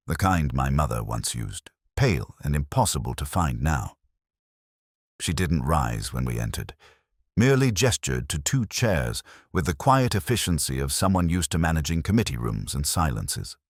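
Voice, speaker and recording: A man playing a brooding, observant police inspector, narrating his case in a measured, understated, literary way for an audio drama. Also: extremely low pitch, no room sound, clean studio sound, exaggerated voice. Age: middle-aged. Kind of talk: scripted first-person detective narration, audio drama.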